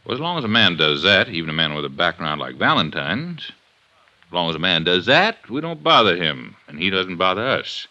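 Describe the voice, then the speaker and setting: Very deep, forceful police inspector. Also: male voice, very animated, a little fast, full character voice. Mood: suspicious, accusing. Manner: blunt, interrogating, sardonic. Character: gruff, suspicious, hard-nosed male police inspector. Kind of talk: 1950s radio detective drama acting. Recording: dull 1950s radio recording.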